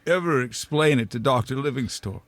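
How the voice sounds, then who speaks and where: A man's voice: mid-range voice, animated, brisk, close to an everyday voice. A man playing a nervous, well-mannered otter archaeologist in a comic radio drama, fussy, genteel and hesitant. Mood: nervous, flustered.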